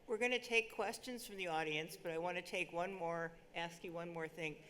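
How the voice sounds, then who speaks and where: Low-voiced woman moderator, faint noise. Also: moderate pace, a bit bright. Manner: casual, friendly questions. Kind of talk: panel moderation.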